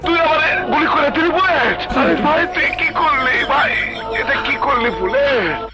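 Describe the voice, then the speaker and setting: A man's voice: extremely high pitch, expressive, normal speed. A man delivering comic dialogue in a colloquial, exclamatory way. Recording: clip under loud music.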